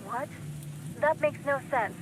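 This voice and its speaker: A woman's voice: sits high, slow, noisy background. An actress playing an earnest, insistent woman journalist in a video game, polite but urgent and worried. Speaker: supporting character in a video game. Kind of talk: scripted game voice acting.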